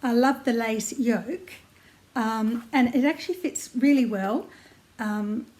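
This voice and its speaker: An older woman with an Australian accent talks in a friendly, rambling way, pausing on ums and laughing softly now and then. Her voice sits a little high and sounds a touch croaky today. She is recorded close up on a tablet in her living room. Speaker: knitting vlogger. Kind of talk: casual chat to camera. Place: at home in her lounge room, seated. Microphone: tablet camera close by.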